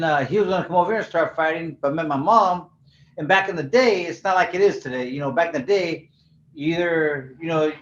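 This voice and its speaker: Brief: older man, fairly high voice, casual rambling storyteller, roomy echo, dull lo-fi sound. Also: fairly bright tone. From California. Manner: laid-back, rambling, heavy "you know" fillers. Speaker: guest, former professional boxer.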